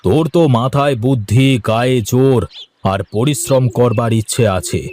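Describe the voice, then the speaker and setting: A man voicing a stern, mocking and mysterious old forest hermit sage in a cartoon, with scornful, drawn-out speech. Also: mid-range voice, darkish tone, close to an everyday voice. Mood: commanding, mysterious. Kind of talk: cartoon voice acting.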